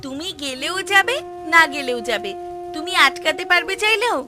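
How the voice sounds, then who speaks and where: Woman acting a high-pitched, fretful cartoon farmer's wife. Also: animated, normal speed, over music. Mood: scolding. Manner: fretful, chiding. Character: worried, nagging farmer's wife, caring but sharp-tongued.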